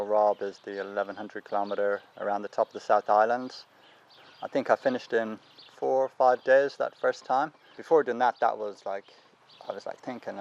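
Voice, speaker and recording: A man's voice: on the low side, creaky, darkish tone, muffled recording, slight background noise. A man who rides long-distance bikepacking races, chatting casually in an interview. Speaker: bikepacking rider.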